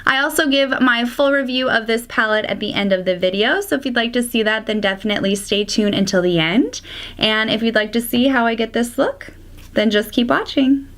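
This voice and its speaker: A young woman with a slightly congested, raspy voice chatting casually through a makeup tutorial. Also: fairly high pitch, brisk. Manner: chatty, drops to soft whispers at times. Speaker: beauty vlogger.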